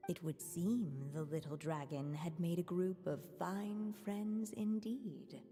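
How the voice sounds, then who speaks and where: A woman's voice: on the low side, slow pace, mellow, faint music behind. A woman playing a mysterious, knowing female onlooker in a video game, with long reverb on the voice.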